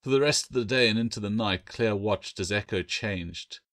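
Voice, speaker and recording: A man's voice: lowish voice, moderate pace, fairly bright tone. A man narrating a fantasy novel as its author, in a scripted audiobook reading.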